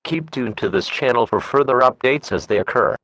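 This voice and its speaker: A synthetic man's voice reading a formal scripted emergency alert in a flat, measured manner. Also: medium pitch, gravelly, a little hiss or hum.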